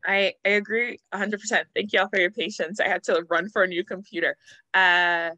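Woman translator, bright crisp voice, earnest anecdotes with ums, dry video-call sound. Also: mid-range voice, brisk, no echo. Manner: earnest, animated storytelling with ums. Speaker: literary translator and panelist. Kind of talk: online panel discussion. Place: indoor room. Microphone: computer video call.

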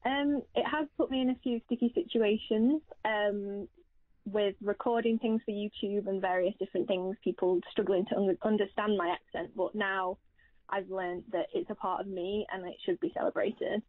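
A woman's voice: medium pitch, fairly even intonation, moderate pace, no echo. A woman in her twenties with a Yorkshire accent, answering interview questions casually as a guest. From Doncaster, Yorkshire, England. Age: 20s. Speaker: guest, YouTuber. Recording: muffled phone-line sound.

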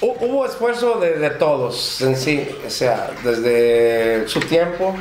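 A man's voice: a little high, animated, slow pace, reverberant, over loud music. A man answering interview questions, hesitant, often repeating and restarting his words.

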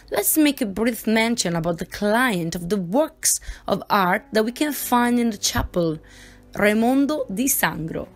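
Woman narrating a museum tour video. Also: mid-range voice. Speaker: voice-over narrator. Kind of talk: scripted narration.

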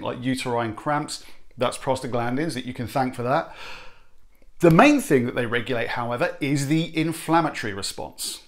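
A man, explaining nutrition to camera in an animated, emphatic way. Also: mid-range voice. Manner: animated, emphatic, gestures with hands. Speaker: nutrition presenter. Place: home studio room. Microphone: close to camera, medium shot.

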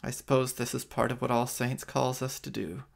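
A man in his forties with an American accent, a priest giving a reflective homily and leading night prayer from home on a webcam, in a slow, measured way. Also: mid-range voice, level tone, creaky. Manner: slow, measured liturgical reading. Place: living room at home, indoors at night. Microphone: webcam on a video stream.

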